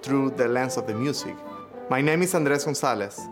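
Earnest Venezuelan man over music. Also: mid-range voice. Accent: Venezuelan Spanish. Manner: earnest, measured, with occasional hesitations. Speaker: conductor and music educator. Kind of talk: reflective personal testimony. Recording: interview over clear music. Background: instrumental music.

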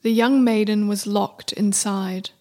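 A woman's voice: mid-range voice, fairly even intonation, unhurried, warm tone. A woman narrating a scripted folktale as the storyteller of a radio play. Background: music.

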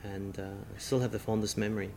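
A middle-aged man, a chef, interviewed in a restaurant, talking in a halting way with many restarts. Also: on the low side, steady tone, gravelly, a little mellow, slight background noise. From Singapore. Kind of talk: casual interview.

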